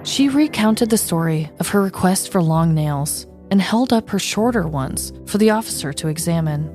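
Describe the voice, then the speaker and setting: A woman speaks as a podcast co-host and storyteller. Her pitch sits a little low for a woman, and soft music can be heard behind her. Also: animated, normal speed. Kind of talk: scripted storytelling narration, then casual chat.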